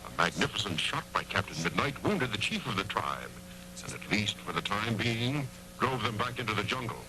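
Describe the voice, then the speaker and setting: Booming old-time radio announcer man. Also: big pitch swings, moderate pace. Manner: booming, dramatic announcer delivery. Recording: noisy old radio broadcast recording.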